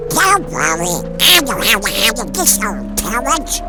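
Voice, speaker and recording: A performer voicing a grumpy, sarcastic male duck superhero in a comic dub, with a creaky, sharp voice. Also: mid-range voice, very animated, measured pace, loud music behind the voice. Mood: dry, confident. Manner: sardonic, complaining.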